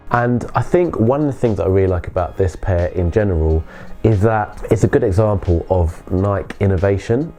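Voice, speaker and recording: British male reviewer, deep and bunged-up, casual delivery over background music. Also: mellow. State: congested with a cold. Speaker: sneaker reviewer. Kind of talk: casual product review to camera.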